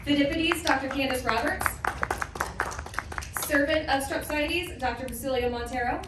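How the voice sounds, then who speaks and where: A woman's voice: high voice, normal speed. A woman presenting a play, reading out scripted narration to introduce it. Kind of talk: scripted narration to a live audience. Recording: very echoey room, distant mic, faint noise.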